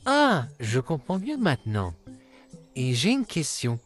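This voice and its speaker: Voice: medium pitch, wildly swooping pitch, takes it slowly, soft background music. A performer voicing a polite, eager, helpful male puppet come to life in a cartoon comic dub. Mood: friendly, curious.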